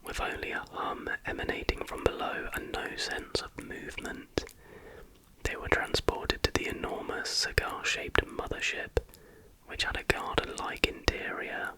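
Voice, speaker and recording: A man's voice: a little slow, poor audio. Whispering man, ASMR storyteller. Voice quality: breathy, unvoiced whisper. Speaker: ASMR YouTube host. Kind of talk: whispered ASMR storytelling, reading with casual asides.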